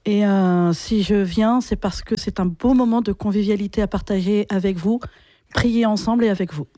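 A woman volunteer introduces herself calmly. Her voice is mellow and recorded close and dry. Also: mid-range voice, normal speed, no room sound.

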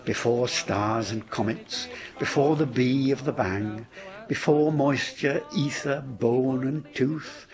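A man giving a slow, rhythmic poetry reading. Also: mid-range voice, a little mellow.

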